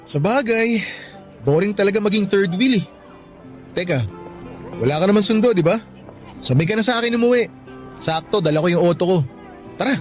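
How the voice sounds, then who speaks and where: A man's voice: high-pitched, lively intonation, a little fast, long pauses, faint music behind. A man with a Filipino accent playing a protective, frank young office worker in a radio drama, informal and insistent. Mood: friendly, insistent. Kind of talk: Tagalog radio drama acting.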